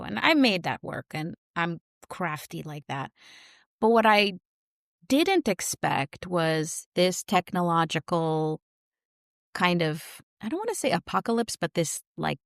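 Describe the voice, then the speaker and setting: A woman's voice: fairly low pitch, creaky, no echo, studio quality. A woman, speaking as a podcast guest in a reflective, searching way. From New York. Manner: reflective, searching for words with many likes and you knows. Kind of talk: casual podcast interview.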